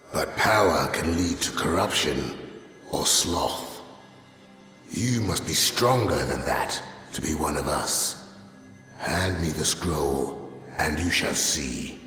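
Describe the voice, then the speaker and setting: Casting: ancient warrior leader for fantasy game, extremely deep, grave, commanding, in a long echoing hall. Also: male voice, a bit bright, exaggerated voice. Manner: slow, grave, imposing. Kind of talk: video game voice acting. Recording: echoey hall with long reverb.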